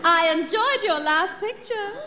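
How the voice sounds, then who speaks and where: A woman playing a grand, excitable middle-aged society matron in an old radio comedy, quick to indignation and gushing. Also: very high-pitched. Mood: flustered, delighted. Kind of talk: 1950s radio comedy acting before an audience. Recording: noisy old echoey radio recording with audience.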